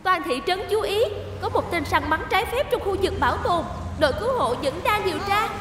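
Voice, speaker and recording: A woman's voice: very high-pitched, expressive, fairly quick. A woman playing a capable, instructive young rescue dispatcher in a cartoon dub. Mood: urgent. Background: music.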